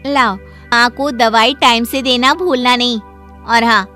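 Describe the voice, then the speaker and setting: A woman's voice: fairly high pitch, steady tone, slow pace, bright, forceful tone, soft background music. A woman voicing a blunt, suspicious young sister-in-law who talks in a pointed, scolding way in a cartoon family story. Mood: annoyed. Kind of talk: Hindi moral cartoon story voice acting.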